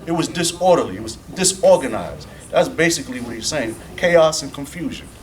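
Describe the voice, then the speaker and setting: A man's voice: mid-range voice, expressive, a little fast. A man explaining the meaning of a scripture verse to a congregation in a plain, repetitive way. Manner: plainspoken, repeats "basically". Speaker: congregation teacher. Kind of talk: explaining scripture in a group discussion. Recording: distant mic, background noise.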